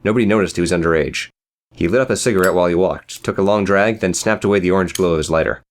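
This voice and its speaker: A man's voice: sits low, fast talker. A man narrating a scripted, dramatic story as an audiobook reader.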